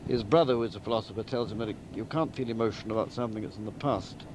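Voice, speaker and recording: A man giving a deliberate, emphatic and articulate spoken summary of a story, like a novelist outlining a book. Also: on the low side, background noise. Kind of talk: spoken plot summary, literary talk.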